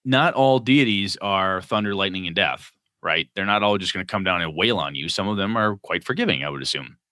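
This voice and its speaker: Bright-voiced man reading listener mail. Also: deep voice, expressive, fairly quick, no echo, clean studio sound. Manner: reads letters aloud, jokey asides. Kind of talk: casual gaming podcast chat.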